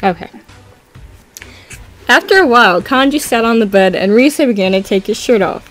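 A woman's voice: fairly high pitch, lively intonation, normal speed, faint music behind. A young adult woman doing a joking dramatic reading, narrating with irreverent asides. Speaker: co-reader and narrator.

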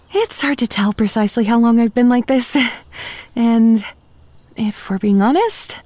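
A woman's voice: a little high, dark tone, no echo. A woman playing a wry, upbeat young female zombie who chats self-deprecatingly to the listener in a comedy audio drama. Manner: conversational, self-deprecating, sardonic asides. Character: chatty, wry, upbeat young female zombie narrating her undead life.

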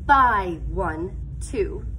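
A middle-aged woman, a teacher reading a picture book aloud to young children with expressive, drawn-out emphasis and explanatory asides. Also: medium pitch, a little hiss or hum, rough recording. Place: school classroom, indoors.

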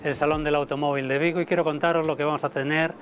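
Halting man on an outdoor terrace. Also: on the high side, flat delivery, slight background noise. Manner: halting, many fillers and repeated words. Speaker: motor show director. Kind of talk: interview to camera. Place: outdoor terrace above a city port. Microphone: lapel mic.

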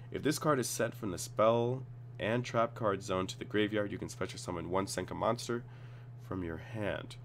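A man with a cold and a slightly raspy voice, casually reading card text aloud and reacting as he reviews cards at his computer. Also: on the low side, a little hiss or hum. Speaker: card game tutorial host.

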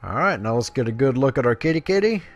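A man's voice: mid-range voice, lively intonation, fast talker. A man hosting a gaming video, casually commentating and reading quest text aloud in a playful way. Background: game music.

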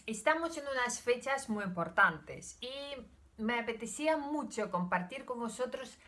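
A woman's voice: a little high, expressive, normal speed, a bit bright. An older woman from Ukraine, a native Russian speaker with a Russian accent, talking casually and hesitantly to camera from a room at home. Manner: chatty, hesitant, gestures with her hands. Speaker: vlogger.

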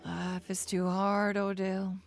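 Tired despairing mom in a radio drama, slow n creaky, kinda low, rambly. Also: female voice, monotone, dry recording, clean studio sound, faint music behind. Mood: weary despair. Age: 40s. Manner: weary, rambling, repeats herself. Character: worn-out despairing mother in her early forties.

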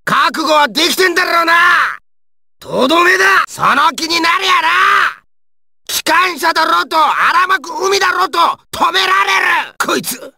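An actor playing a hot-tempered male ice-wielding villain in an anime video game, with a coarse, raspy, gravelly, snarling delivery. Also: high-pitched, sing-song, normal speed, pushed and bright, full character voice. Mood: aggressive, triumphant.

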